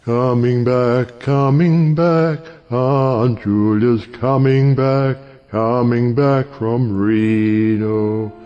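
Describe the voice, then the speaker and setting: An actor playing a jovial, sentimental older judge in an audio play, declaiming in a drawn-out, theatrical way. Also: male voice, mid-range voice, mellow, highs cut off, natural voice.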